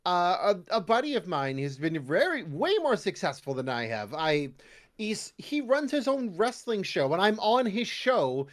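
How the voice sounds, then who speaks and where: A man's voice: high-pitched, big pitch swings, measured pace. A Canadian man chatting casually as a podcast guest, animatedly slipping into mock voices when he quotes people. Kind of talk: casual interview chat.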